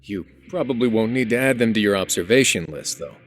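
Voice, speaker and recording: A man playing a composed, authoritative prison warden duke in a video game, measured and dryly formal. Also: on the low side, expressive, slight background noise, lightly acted. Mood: polite, wry. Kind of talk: game voice acting.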